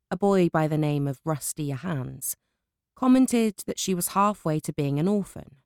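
Creaky, warm British woman reading a storybook. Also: lowish voice, dry recording, studio quality. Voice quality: warm, distinctive. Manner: lively, engaging storytelling. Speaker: audiobook narrator. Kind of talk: scripted storybook narration.

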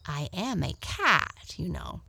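A woman's voice: low voice, wildly swooping pitch, takes it slowly, gravelly, pushed and bright, slightly rough, no room sound, exaggerated voice. A woman voicing a polite, adventurous female cat in a children's storybook, kindly, earnest and a little homesick.

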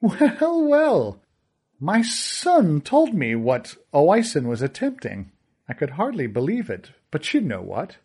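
A man playing a smug, oily storybook villain with a pitched-up, sneering voice that drips every word out slowly. His delivery lilts mockingly up and down, and the voice has a husky edge. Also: huge pitch swings, darkish tone, full character voice. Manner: slow, dripping, sneering delivery.

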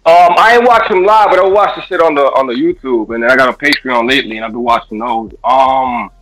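A man's voice: medium pitch, fairly quick, fairly bright tone, faint music behind, poor audio. A man in his late thirties from Florida, calling in to a show, laid-back and hesitant with lots of fillers. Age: 30s. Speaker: caller. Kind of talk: casual chat.

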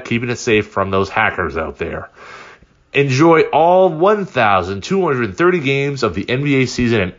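A man hosting a podcast, reading a sponsor ad in an upbeat, conversational sales pitch. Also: fairly bright tone, highs cut off. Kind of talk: conversational scripted ad read.

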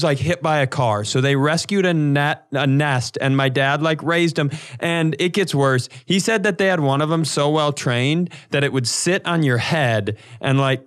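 A man co-hosting a podcast, telling comedic stories casually in an animated, sweary way and acting out dialogue. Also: fairly high pitch. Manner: animated, profane, acts out quoted dialogue. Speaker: podcast co-host.

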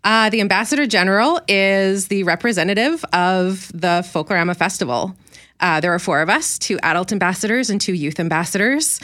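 A woman's voice: medium pitch, fairly quick, fairly bright tone. A young adult woman, a teacher, earnestly explaining things at length as a guest on a radio show. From Ukrainian community in Winnipeg. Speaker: festival ambassador and teacher.

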